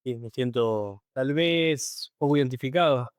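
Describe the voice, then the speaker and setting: Smooth-voiced Argentine man, close and dry. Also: medium pitch, unhurried, no echo. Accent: Argentine Spanish. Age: 30s. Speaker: podcast guest, professional diver. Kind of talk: conversational personal account.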